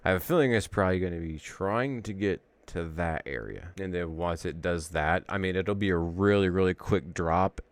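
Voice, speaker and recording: A man's voice: low voice, moderate pace, hardly any pauses, no room sound. A man casually thinking aloud as he walks through trading charts, rambling and correcting himself as he goes. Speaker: trading educator.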